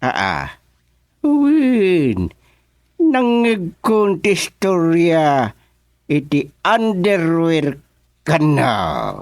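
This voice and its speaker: A performer playing a village chairman who placates quarrelling neighbours with hemming, drawn-out replies in a comedic radio drama. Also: medium pitch, huge pitch swings, darkish tone, dry recording, clean studio sound, heavily acted. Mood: patient, probing.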